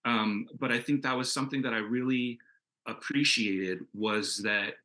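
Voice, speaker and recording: A man with a bright, forward voice talks as a creator on a panel. He is enthusiastic and conversational, wandering through his thoughts in an informal way. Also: mid-range voice, fairly even intonation, a little slow. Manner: rambling, enthusiastic, peppered with "like" and "you know". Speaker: comic book creator answering questions in a panel. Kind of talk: casual, conversational panel discussion.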